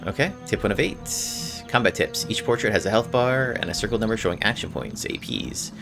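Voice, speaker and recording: Guy doing casual gameplay commentary, some vocal fry, faint music behind, reads game text out. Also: fairly low pitch, a little fast. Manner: informal. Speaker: gameplay video host.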